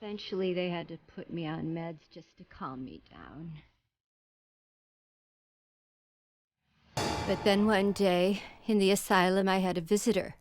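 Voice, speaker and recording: A woman's voice: on the low side, expressive, moderate pace, a little hiss or hum, rough recording. An actress playing a lonely, deranged woman obsessed with true love, telling her story in a wistful, vain, dramatic way for a video game. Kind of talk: dramatic scripted game cutscene narration. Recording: loud music behind the voice.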